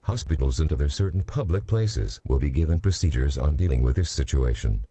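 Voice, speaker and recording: Very deep, dark-voiced emergency announcer man. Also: lively intonation, slightly rough, no room sound. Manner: measured, formal, instructional. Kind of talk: scripted emergency alert announcement.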